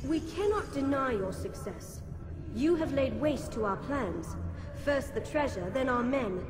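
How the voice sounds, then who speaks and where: Defiant female Templar, echoing high voice, composed, deliberate, video game cutscene, music behind. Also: expressive. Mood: coolly defiant. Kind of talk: dramatic video game dialogue.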